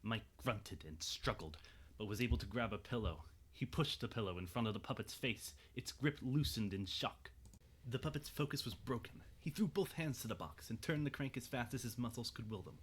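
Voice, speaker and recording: A man narrating a scripted dramatic story in a measured, suspenseful way. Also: mid-range voice, expressive, breathy edge.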